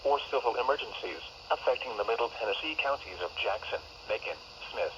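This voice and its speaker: A text-to-speech man's voice reads a weather forecast and alert test in clipped, measured phrases. It plays through a dull, lo-fi radio speaker and is caught on a phone. Loud static hiss sits under every word. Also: medium pitch, expressive. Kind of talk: automated forecast and test announcement. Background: loud radio noise.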